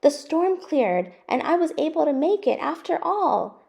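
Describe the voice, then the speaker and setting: A woman's voice: high-pitched, sing-song, a little slow, vocal fry, a little mellow, pitched above the performer's own voice, full character voice. A woman voicing a loving, delighted mother in a children's storybook, speaking with gushing affection. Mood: affectionate. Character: Gus's loving, delighted mama, adult female pilot.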